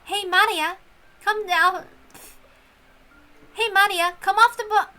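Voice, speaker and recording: A woman's voice: extremely high pitch, fairly even intonation, slow pace, sharp tone, soft background music, pitched above the performer's own voice, full character voice. A woman voicing a mother in a story, calling out to her small child in a singsong way.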